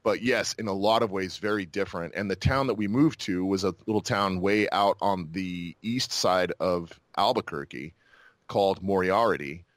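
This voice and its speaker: An American man in a casual interview, telling his story in a reflective, halting, conversational way. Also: low voice, no echo. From Utah and New Mexico, United States. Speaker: podcast guest, actor and army veteran.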